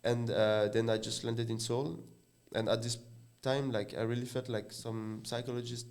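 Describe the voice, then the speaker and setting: French guy on a podcast, mid pitch, lots of uhs, hunting for words. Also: flat delivery, a little mellow. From France. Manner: halting, searching for words, many "uh" fillers. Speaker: guest. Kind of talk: casual podcast chat.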